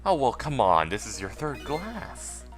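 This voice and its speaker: A man's voice: medium pitch, big pitch swings, a little slow, faint music behind. A man voicing a snippy, sarcastic young waitress from a video game. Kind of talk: video game character dub in a let's play.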